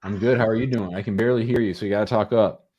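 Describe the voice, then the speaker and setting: Low-voiced man hosting call-in advice. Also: fairly even intonation, very fast, darkish tone, a little hiss or hum. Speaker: host answering immigration law questions. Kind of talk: live call-in advice, casual conversation.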